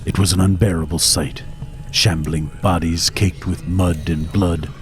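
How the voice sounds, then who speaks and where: An actor playing a grim, haunted survivor, narrating gothic horror audio drama in a grave, dramatic way. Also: male voice, low-pitched, fairly even intonation, measured pace. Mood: grim dread. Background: faint music.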